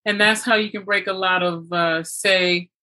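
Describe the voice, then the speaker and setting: A woman's voice: on the low side, steady tone, moderate pace, sharp tone. A woman hosting a conversational motivational discussion, speaking in an emphatic, affirming and instructive way. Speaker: interview host.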